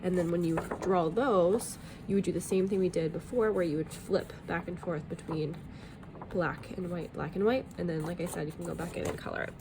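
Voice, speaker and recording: A woman's voice: lowish voice, fairly even intonation, fairly quick, background noise. A woman art teacher talking through a drawing tutorial in a friendly, chatty way, a bit sniffly from allergies, with plenty of ums.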